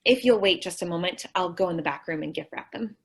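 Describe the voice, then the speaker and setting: A woman's voice: lowish voice, quick pace, slightly rough. A woman playing a sweet, naive, scatterbrained young shop clerk in an old-time radio comedy, earnest and guileless in her delivery. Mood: naive, cheerful. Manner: earnest, guileless, literal-minded.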